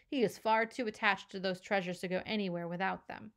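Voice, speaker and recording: A woman's voice: medium pitch, fairly even intonation, brisk, a bit bright, close to an everyday voice. A woman voicing a stern, haughty middle-aged foster mother who scolds in stiff, formal language. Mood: scolding. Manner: formal, scolding, pompous diction.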